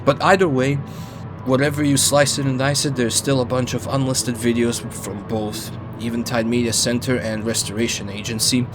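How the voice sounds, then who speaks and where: A man's voice: medium pitch, little pitch movement, normal speed. A man narrating a casual, opinionated video essay in an informal, wry way with joking asides and some swearing. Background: background music under the voice-over.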